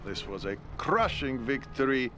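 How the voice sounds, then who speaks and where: A man's voice: medium pitch, big pitch swings, measured pace, noisy background. A man playing a thoughtful, courteous Soviet army major in a video game cutscene. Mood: courteous, approving. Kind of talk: dramatic game cutscene acting. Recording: music behind the voice.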